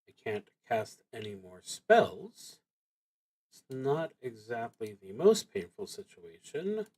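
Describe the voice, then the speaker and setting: A man streaming a solo tabletop roleplaying game, narrating his play and thinking aloud with hesitant pauses. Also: medium pitch, sing-song, darkish tone, slightly rough, poor audio.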